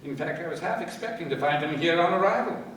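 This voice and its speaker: A man playing a methodical, cautious police inspector in a radio play, deliberate and given to thoughtful hums and pauses. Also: fairly high pitch, lively intonation, a bit bright. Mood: businesslike. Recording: very echoey, distant, with background noise.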